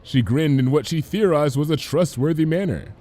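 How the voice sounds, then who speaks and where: A man's voice: fairly high pitch, moderate pace, dark tone. A man reading a story aloud as narrator, in a scripted storybook style. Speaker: fan fiction reader, narrator.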